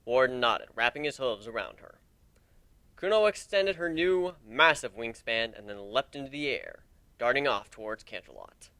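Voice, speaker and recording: A man's voice: medium pitch, animated, moderate pace, sharp tone, no echo. A man reading a story aloud as narrator, in a dramatic audiobook style. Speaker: narrator of a fan fiction reading.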